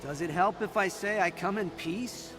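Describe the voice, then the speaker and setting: A man's voice: sits high, normal speed, music in the background, lightly acted. A man voicing a defensive, earnest young executive turned ally in a video game.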